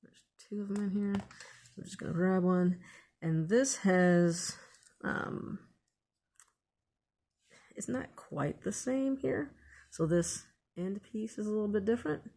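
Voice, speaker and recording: A woman's voice: lowish voice, creaky, a little mellow, slightly rough. A woman hosting a casual hands-on DIY tutorial, thinking aloud in an unscripted way as she works. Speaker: DIY video host.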